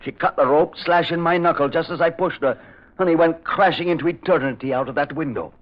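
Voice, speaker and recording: A man's voice: a little high, a little fast, natural voice. Man as a grave, burdened war veteran, muffled. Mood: tense confessing. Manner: grave, confessional. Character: guilt-burdened loyal male war veteran, secretive and anguished. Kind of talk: old radio mystery drama acting. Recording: dull old radio recording.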